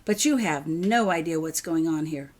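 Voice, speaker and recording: A woman's voice: low voice, moderate pace. Stern, hot-tempered father for an audiobook, played by a woman, loving underneath, given to snapping. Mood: distracted, stern. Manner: shouts and snaps when angry. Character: angry, exasperated father, stern but loving.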